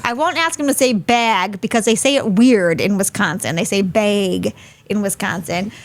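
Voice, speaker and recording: A woman's voice: mid-range voice, moderate pace. A woman hosting a live webinar over a video call, chatty and friendly, laughing easily. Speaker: host.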